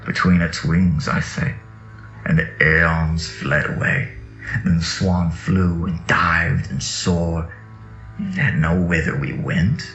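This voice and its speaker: A man with a gravelly voice gives a slow, dramatic, incantatory reading of mystical scripture. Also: deep voice, steady tone, fairly bright tone. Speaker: reader of sacred texts. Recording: echoey, dull audio under music. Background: music.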